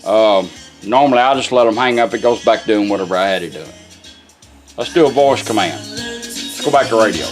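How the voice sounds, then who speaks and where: A man's voice: lowish voice, brisk, long pauses, soft background music. Folksy man giving a casual demo. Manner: folksy, informal, talks to the gadget. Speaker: presenter demonstrating a product. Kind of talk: casual hands-on demonstration.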